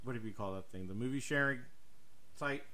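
A man's voice: medium pitch, fairly quick, bright, forceful tone. A man co-hosting a podcast in casual promotional chat, jokey, exaggerated and rambling. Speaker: podcast host.

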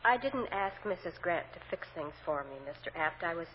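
A woman's voice: lowish voice, lively intonation, moderate pace, lightly acted. An actress playing an aggrieved, self-pitying mother on the witness stand, plaintive and hesitant, in 1950s radio drama style. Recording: muffled, noisy old radio recording, reverberant.

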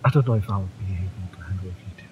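A man playing a fiery, devoted mercenary in love, tough yet tender, in a romantic audio roleplay, with emphatic, halting delivery. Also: low-pitched, lo-fi sound, background noise, natural voice. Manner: impassioned, emphatic, halting delivery with breathy drops.

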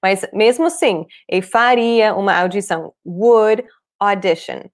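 A woman in her thirties, a native English speaker with a foreign accent in Portuguese, teaching an online language lesson in a clear, deliberate way. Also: mid-range voice. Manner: clear, deliberate explaining with repeated examples. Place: small room indoors, at a desk.

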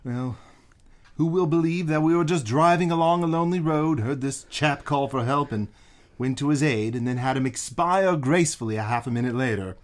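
A man's voice: on the high side, moderate pace, natural voice. An actor playing a genteel, fussy male sidekick with an arch, theatrical, old-fashioned way of talking. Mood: fretful. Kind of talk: live 1950s radio drama acting.

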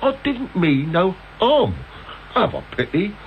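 An actor playing a wheedling, down-on-his-luck British impostor in a radio play, whining and pleading in rough colloquial speech. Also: sits high, big pitch swings, measured pace, distant mic, full character voice. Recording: dull, hissy old radio tape recording.

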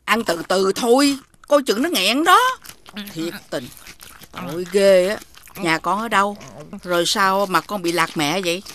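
An actress playing a bossy, sly woman food seller in an animated folk tale, with a Southern Vietnamese accent. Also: medium pitch, a little slow, slight background noise. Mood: coaxing. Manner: scolding, switches to coaxing.

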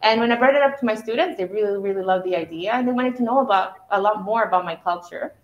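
A woman's voice: medium pitch, quick pace. A woman teacher speaking enthusiastically about her class project in a classroom. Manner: enthusiastic, explanatory. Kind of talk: interview answers. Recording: echoey, dull classroom sound.

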